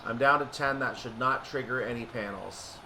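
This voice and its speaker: Guy talking thru a train sim game, mid pitched voice, explains each step, clear hum behind him. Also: moderate pace, pushed and bright, rough recording. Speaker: video game streamer playing a train simulator. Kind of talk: gameplay commentary, thinking aloud.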